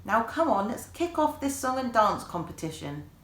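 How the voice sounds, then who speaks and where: A woman's voice: a little high, sing-song, normal speed, pitched above the performer's own voice. A woman voicing a playful, secretive detective aunt in a children's story, teasing and knowing in manner. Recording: echoey room.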